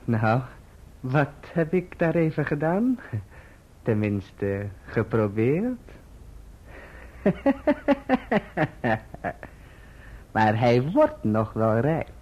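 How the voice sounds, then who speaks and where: An actor playing a scheming clerk in a radio play, obsequious and insinuating, on a dull recording. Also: male voice, medium pitch, sing-song, very slow, long pauses, darkish tone, full character voice. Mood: smug, insinuating. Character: scheming, falsely humble male clerk, oily and self-satisfied.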